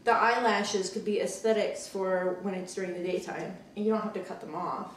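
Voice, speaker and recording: A woman's voice: mid-range voice, fairly even intonation, moderate pace. A woman in her thirties co-presenting with her husband, teasing and giggly in casual banter. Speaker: host's wife and co-presenter. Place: home kitchen. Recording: echoey room, distant mic, background noise.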